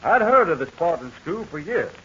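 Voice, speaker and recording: A man's voice: fairly high pitch, sing-song, moderate pace, bright, forceful tone. A polite, earnest young man from North Carolina, answering a scripted radio interview on an old recording. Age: young adult. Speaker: guest student pilot. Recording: dull old radio transcription with faint hiss.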